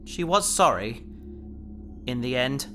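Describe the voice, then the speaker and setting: A man's voice: mid-range voice, expressive, slow pace, sharp tone. A man playing an earnest, dramatic young human who is turning part alien, hesitant and polite, in an audio drama. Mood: subdued, sorry. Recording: faint music behind the voice.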